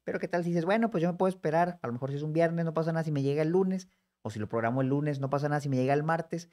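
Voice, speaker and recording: A man, explaining savings options in a lively, conversational tutorial that speaks straight to the viewer. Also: fairly high pitch. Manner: brisk, conversational, addresses viewer directly. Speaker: personal finance educator. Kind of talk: explanatory screen-share tutorial.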